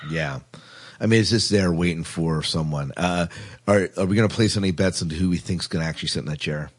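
A man's voice: low-pitched, fairly quick. A man hosting a casual podcast chat about television, informal and enthusiastic in the way he talks. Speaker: podcast host.

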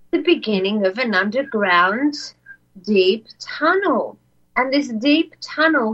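Soft-spoken woman, lo-fi podcast audio. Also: a little high. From not said; lives in Australia. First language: not English. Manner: soft-spoken, repeats words, many ums. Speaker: co-host. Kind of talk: casual podcast chat, storytelling. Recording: dull lo-fi audio.

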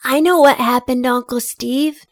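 A woman playing an earnest, high-voiced little boy. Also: steady tone, a little slow, no room sound. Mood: confident, sincere. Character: earnest, innocent seven-year-old boy narrating his story. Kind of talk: audio drama acting and first-person narration.

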